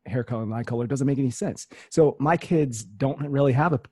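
Measured man with a mellow, dry voice. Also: medium pitch, husky, no room sound. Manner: measured, earnest, deliberate explaining. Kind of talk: casual conversation.

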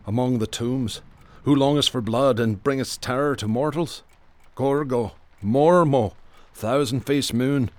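A man's voice: medium pitch, measured pace, darkish tone, lightly acted. A man playing a level-headed, bookish detective who tells his grim story in a radio drama, matter-of-fact and erudite. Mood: solemn incantation. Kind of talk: radio drama acting and first-person narration.

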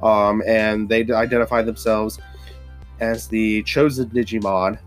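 A man's voice: fairly low pitch, flat delivery, measured pace, soft background music. A man hosting a casual solo podcast, stuffy-nosed and rambling with lots of fillers and restarts. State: stuffy nose. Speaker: podcast host. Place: hot humid home studio with fans running.

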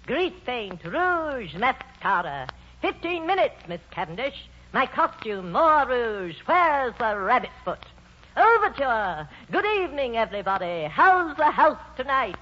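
Imperious old stage grande dame. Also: female voice, on the high side, a bit bright. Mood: yearning, rapt. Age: older. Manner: theatrical, declamatory, grand. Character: imperious old grandmother, veteran stage actress, fiery and proud. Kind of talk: 1940s radio drama acting. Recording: dull, noisy old radio broadcast.

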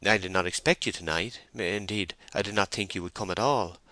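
Man as a guarded, offhand army sergeant, bright-edged. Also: deep voice, a little fast, no echo. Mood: cool, evasive. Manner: guarded, dismissive, noncommittal. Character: evasive, offhand male army sergeant, suspicious and reluctant.

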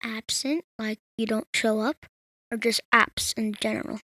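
High-pitched female podcast co-host. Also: steady tone, a little slow, bright, forceful tone, dry recording, clean studio sound. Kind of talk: casual chat.

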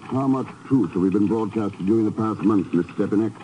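An actor playing a composed, probing government agent in a 1950s radio spy drama, speaking in a measured way. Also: male voice, fairly low pitch, dark tone, a little hiss or hum, natural voice. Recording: dull vintage radio recording.

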